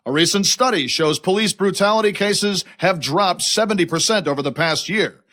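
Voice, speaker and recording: High-pitched, polished male news anchor. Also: moderate pace, a bit bright. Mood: polished, projecting. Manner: formal, projected announcer delivery. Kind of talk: broadcast news reading in audio drama.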